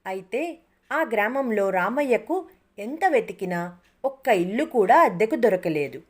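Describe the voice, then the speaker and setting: A woman's voice: fairly high pitch, animated, unhurried. A woman storyteller reading a scripted moral tale as narrator.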